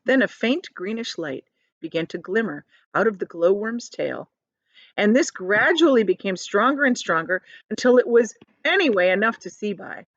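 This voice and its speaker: A woman's voice: medium pitch, expressive, moderate pace, no echo, highs cut off. A woman reading a story aloud to children like a teacher, expressively, with simple explanatory asides.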